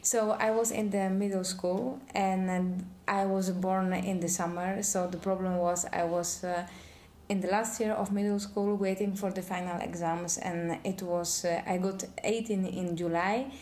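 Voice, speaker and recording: A woman from Poland, a guest telling her story in a relaxed interview, often pausing with fillers. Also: mid-range voice, slight background noise. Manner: hesitant, many filler pauses. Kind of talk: casual interview chat.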